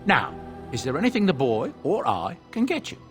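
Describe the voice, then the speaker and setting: A man's voice: a little high, huge pitch swings, moderate pace, soft background music. An actor playing a genial middle-aged dwarven merchant and adoptive father, telling his story in a folksy, self-justifying way for a video game. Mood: fond.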